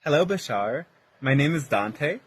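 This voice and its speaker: A man's voice: a little high, lively intonation, a little slow, slightly rough. A man from Pennsylvania posing a long, earnest, polite question from a prepared script. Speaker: audience questioner. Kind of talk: prepared question read aloud.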